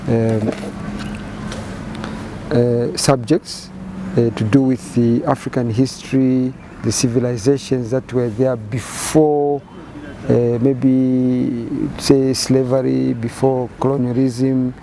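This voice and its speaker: A man in his fifties with a Zambian accent, answering interview questions in measured, halting phrases with many repeated words. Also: medium pitch, level tone, mellow, slight background noise, low-quality recording. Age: 50s. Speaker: painter and photographer interviewee.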